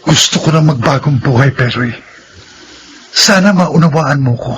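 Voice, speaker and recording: A man's voice: a little high, moderate pace, fairly bright tone, slightly rough. An actor playing a remorseful ex-convict who wants to change his life, speaking earnestly in a radio drama. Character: remorseful ex-convict son seeking a new life. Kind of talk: classic radio drama acting.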